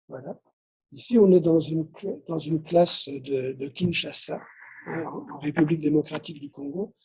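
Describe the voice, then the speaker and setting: A man's voice: on the high side, mellow, husky, dull audio. A man from Belgium whose first language is Dutch, giving a conference lecture, restarting phrases and adding tag questions. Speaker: conference speaker.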